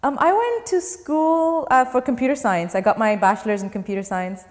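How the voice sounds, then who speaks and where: A woman's voice: a little high, moderate pace. A young woman from Dubai, a startup founder, answering interview questions in an earnest, step-by-step way. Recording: long reverb.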